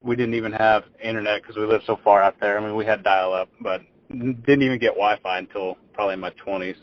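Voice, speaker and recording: A man's voice: lowish voice, steady tone, fast. A man, telling his story as a podcast guest in a rambling, casual way. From Texas. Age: 20s. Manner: rambling, repeats words when starting phrases. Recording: dull lo-fi audio.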